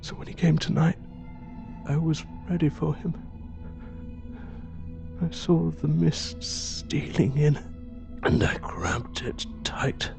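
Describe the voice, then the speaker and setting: Gravelly agitated madman in an audio drama, weak and halting then feverish, faint music under. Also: on the high side, fairly even intonation. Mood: grim, fading.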